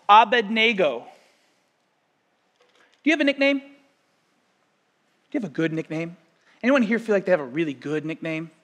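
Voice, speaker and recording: A man in his thirties preaching a sermon as pastor, talking conversationally and telling stories to the congregation. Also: on the high side. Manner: conversational, storytelling, animated asides to congregation.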